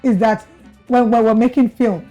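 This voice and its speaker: Bright-voiced woman hosting TV interview. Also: a little high, steady tone, normal speed, muffled recording, faint music behind. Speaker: TV show host. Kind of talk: broadcast interview.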